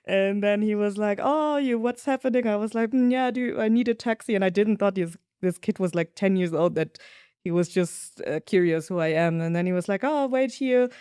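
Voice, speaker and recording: A young woman from Germany, a podcast guest, telling travel stories in a thoughtful, lively way. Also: mid-range voice, a little fast, clear, resonant tone, dry recording, clean studio sound. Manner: thoughtful storytelling, lively when quoting others. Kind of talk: casual conversational interview.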